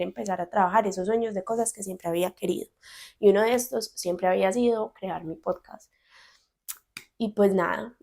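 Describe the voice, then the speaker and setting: Candid Colombian host in her thirties with a Medellín accent, casual solo talk, gravelly edge to the voice. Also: mid-range voice, darkish tone. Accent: Medellín Colombian Spanish. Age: 30s. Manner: chatty, candid, uses slang freely. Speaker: podcast host. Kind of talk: casual solo podcast monologue.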